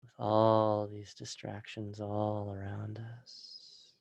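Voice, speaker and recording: A calm, soft-spoken man teaching meditation, guiding a session and then answering questions from an online group. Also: fairly low pitch, slow, vocal fry, no echo, lo-fi sound. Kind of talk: guided meditation and live Q&A webinar. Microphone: video call.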